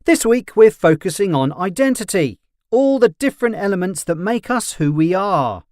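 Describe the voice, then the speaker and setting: A man hosting a scripted show, presenting in a bright, upbeat manner. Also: high-pitched, lively intonation, moderate pace, dry recording, clean studio sound. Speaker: show host. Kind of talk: scripted course-book radio show.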